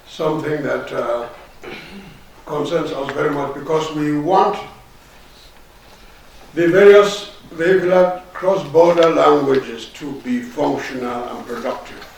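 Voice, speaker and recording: Gravelly man, highish pitch, echoing. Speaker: workshop official answering questions. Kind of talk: extempore formal answer. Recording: echoey room, distant mic, faint noise.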